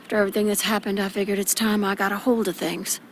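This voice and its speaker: A woman's voice: medium pitch, level tone, quick pace, slight background noise, lightly acted. An actress playing a defensive, bitter, struggling mother in a video game. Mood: guarded, curt.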